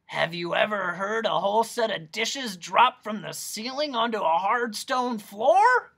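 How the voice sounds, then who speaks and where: A narrator voicing an eccentric male doctor of noise in a storybook, with a hoarse, raspy croak and a loud, gleeful, badgering manner. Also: medium pitch, lively intonation, measured pace, pitched above the performer's own voice. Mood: gleeful, insistent. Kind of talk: children's audiobook character voice.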